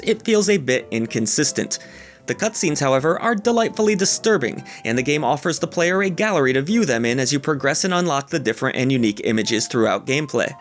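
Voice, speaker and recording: A man narrating a scripted video game review in a measured, lightly wry, opinionated way. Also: a little high.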